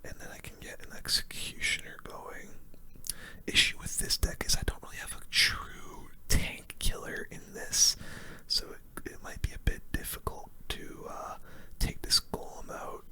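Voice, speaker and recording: A gaming host giving relaxed, casual ASMR commentary on a match in a soft, breathy whisper, full of gamer slang. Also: moderate pace.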